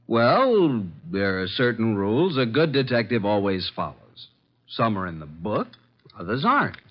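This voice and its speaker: An actor in a 1950s radio drama playing a breezy, wisecracking young assistant to a detective. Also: male voice, lowish voice, big pitch swings, measured pace. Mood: breezy, flustered. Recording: dull vintage 1950s radio recording.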